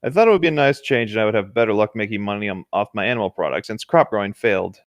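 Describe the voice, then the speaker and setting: A man with a somewhat low voice narrates a creepy story as a co-host, dropping into casual remarks. He is recorded close and dry, with no room sound. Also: expressive, racing, no room sound. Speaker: co-host reading video game creepypastas. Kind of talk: story reading with casual asides.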